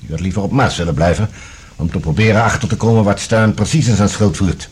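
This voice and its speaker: Casting: stern security general for radio drama, low and very husky, formal, measured, courteous but unyielding. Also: male voice, fairly even intonation, darkish tone. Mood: measured, guarded. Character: composed, authoritative male general, head of security service. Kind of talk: Dutch radio drama acting.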